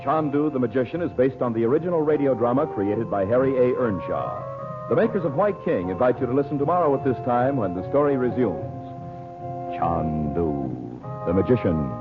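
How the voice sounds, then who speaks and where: A man's voice: mid-range voice, very animated, normal speed. A man announcing on old-time radio, polished and persuasive, speaking straight to the listeners. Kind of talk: 1930s-40s radio sponsor announcement. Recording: muffled vintage radio recording. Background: music.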